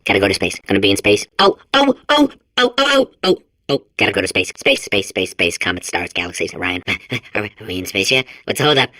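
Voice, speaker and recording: A man's voice: on the low side, very animated, quick pace, hardly any pauses, pushed and bright, no room sound. A man voicing a manic, childlike robot in a video game, stuttering and compulsively repeating words. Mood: dreamy longing. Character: manic, childlike male robot sphere obsessed with space. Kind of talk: video game character acting.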